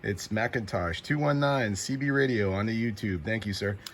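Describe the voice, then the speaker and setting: A man's voice: fairly low pitch, moderate pace. A man working as a CB radio operator and channel host, talking informally in short calls and acknowledgements, repeating call signs. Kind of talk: casual CB radio contact calls.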